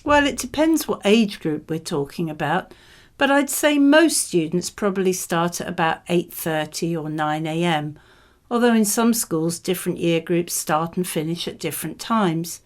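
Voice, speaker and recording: A woman with a slightly low-set voice reads model answers for an English speaking test. She is a native speaker and sounds conversational though scripted. Her delivery is measured and explanatory.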